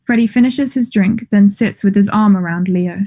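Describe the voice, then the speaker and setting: A woman reading stage directions as scripted narration in a measured, neutral manner. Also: medium pitch, warm tone.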